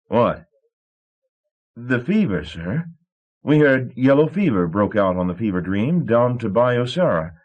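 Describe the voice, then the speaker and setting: A man's voice: mid-range voice, slightly rough, muffled recording, voice pushed up. A man voicing a nervous young shipping clerk who stammers and babbles.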